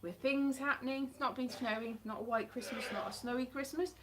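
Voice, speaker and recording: A woman's voice: on the high side, brisk, crowd noise, low-quality recording. A woman in her thirties chatting casually on a live stream from her hallway at home, rambling and mocking herself with playful sarcasm. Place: home hallway by a staircase, indoors. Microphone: webcam or phone at close range.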